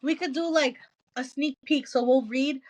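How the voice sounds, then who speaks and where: A woman's voice: high-pitched, steady tone, normal speed, slight background noise. A woman chatting casually as a podcast co-host, blunt and insistent in a slangy way. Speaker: book club podcast co-host. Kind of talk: casual chat.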